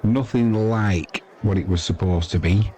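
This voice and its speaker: A man's voice: lowish voice, unhurried, dark tone. A man doing casual, chatty live cricket commentary and talking to viewers, with dry, informal asides. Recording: crowd noise behind the voice. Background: sports crowd.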